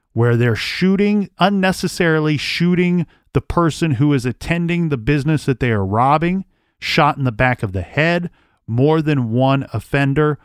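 A man's voice: a little high, smooth, no echo, studio quality. A man hosting a true crime podcast, reading old case records aloud and then thinking through theories in casual talk. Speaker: podcast host.

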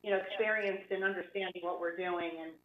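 A woman's voice: lowish voice, fairly even intonation, fairly quick. A woman answers questions at a public budget hearing in a plain conversational way, with fillers. She joins by video call with earbuds, and the sound is roomy and dull with faint background noise.

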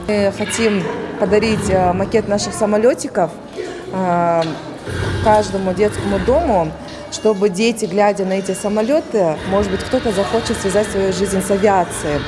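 A woman in her thirties giving a halting interview as a sponsor's representative into a handheld microphone indoors. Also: mid-range voice. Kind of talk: on-camera news interview. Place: indoor hall. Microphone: handheld reporter microphone. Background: music.

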